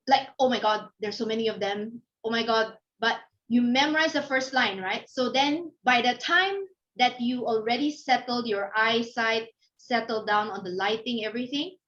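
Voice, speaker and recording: A middle-aged woman from the Philippines with a Filipino accent gives an informal motivational talk, telling personal anecdotes in an animated way and acting out thoughts and remarks. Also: fairly high pitch, moderate pace. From Philippines (Manila). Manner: animated storytelling, acts out inner thoughts and quoted remarks. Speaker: guest speaker, company founder. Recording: dull, lo-fi audio.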